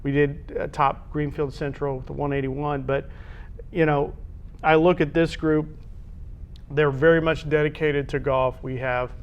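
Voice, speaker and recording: Higher-voiced American man, muffled sports chat. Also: flat delivery, measured pace, clean tone. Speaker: co-host. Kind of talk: casual sports discussion.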